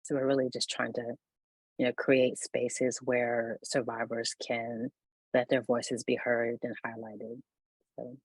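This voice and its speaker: A woman's voice: very deep voice, flat delivery, a little slow, slightly rough, no room sound, poor audio. A woman on a discussion panel upbeatly describing her program. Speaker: program leader.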